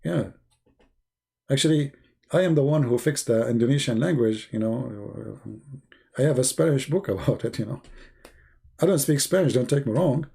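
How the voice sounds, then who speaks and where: Male live stream host, mellow dark tone, gravelly rasp, sarcastic, repetitive, off-the-cuff. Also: medium pitch, animated, moderate pace, pauses often. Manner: teasing, sarcastic, repeats phrases. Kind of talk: rambling religious debate live stream chat.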